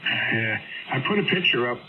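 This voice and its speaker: A man's voice: mid-range voice, very animated, moderate pace. An older man chatting on the air as a ham radio operator, rambling with many fillers. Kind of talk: casual on-air chat. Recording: tinny radio signal under loud static.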